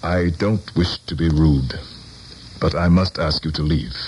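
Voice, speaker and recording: Cynical middle-aged american politician, deep voice, measured and condescending, old radio play with noisy sound. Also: male voice, fairly even intonation, a little mellow. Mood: cool, stern. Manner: measured, articulate, formal. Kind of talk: radio drama acting.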